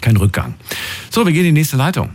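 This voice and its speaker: A man's voice: on the high side, very animated, quick pace, a little mellow. A man hosting a call-in talk show, speaking in a casual, conversational, questioning way with callers. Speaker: radio call-in show host.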